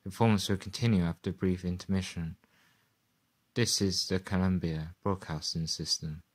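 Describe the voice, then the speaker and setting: A man's voice: low-pitched, steady tone, moderate pace. A man reading a station announcement as the announcer of a radio drama.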